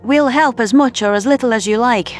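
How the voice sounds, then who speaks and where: A woman's voice: fairly high pitch, brisk, soft background music, natural voice. A woman voicing a considerate female human scientist in a science fiction audio drama, tactful and reassuring. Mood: sympathetic, tactful.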